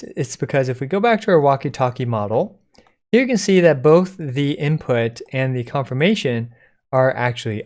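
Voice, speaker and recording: A man presenting a code-along software tutorial in an informal, step-by-step explaining way. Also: fairly high pitch. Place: indoors at a computer, screen recording.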